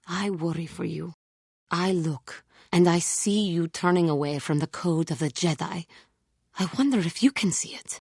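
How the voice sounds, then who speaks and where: A brooding female alien warrior from a video game, with a low, husky voice recorded close and dry. She speaks in solemn, formal, measured phrases, earnest and full of self-doubt. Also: darkish tone, no room sound. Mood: worried, reproachful. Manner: formal, solemn, measured speech without contractions. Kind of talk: video game character acting.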